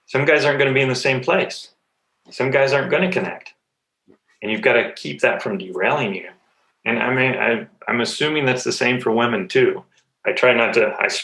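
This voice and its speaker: Bright-voiced man in an echoey room. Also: medium pitch. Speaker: guest coach. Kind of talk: interview conversation.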